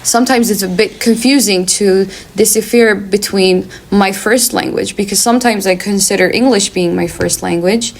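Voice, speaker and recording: A woman from Sweden, a native Swedish speaker, talking in careful, measured sentences in a casual discussion with frequent fillers. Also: medium pitch, creaky.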